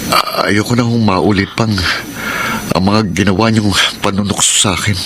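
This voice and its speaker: A man's voice: on the low side, fairly even intonation, normal speed, noisy background, close to an everyday voice. A man playing an earnest faithful husband. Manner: earnest, polite. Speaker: radio drama actor. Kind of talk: 1970s-style radio drama acting.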